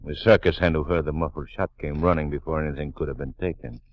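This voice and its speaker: An actor playing a dry, sardonic police detective lieutenant in a 1940s radio drama, insinuating and deliberate. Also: male voice, very deep voice, fairly even intonation. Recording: dull 1940s radio recording.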